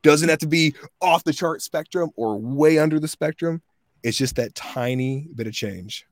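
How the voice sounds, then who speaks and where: A man's voice: fairly high pitch, normal speed, dry recording, studio quality. A man in his thirties, a voice actor, telling stories in a casual interview and acting out the people he quotes. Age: 30s. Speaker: voice actor guest.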